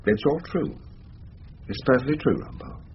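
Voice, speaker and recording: An actor playing a stuffy middle-aged upper-class English lawyer in a radio drama, with a prim, rueful, self-important manner. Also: male voice, medium pitch, very animated, moderate pace, dull audio, slight background noise, close to an everyday voice. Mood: sheepish confession.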